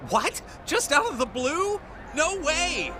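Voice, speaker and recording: A jittery, lovesick young soldier. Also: male voice, high-pitched, very animated, a little slow. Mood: nervous. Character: timid, flustered, lovesick young male soldier, self-deprecating. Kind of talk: video game cutscene acting. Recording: music behind the voice.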